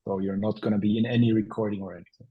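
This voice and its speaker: A man's voice: lowish voice, flat delivery, racing, highs cut off. A man from Germany, chatting informally as a co-presenter of an online webinar. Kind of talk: casual chat.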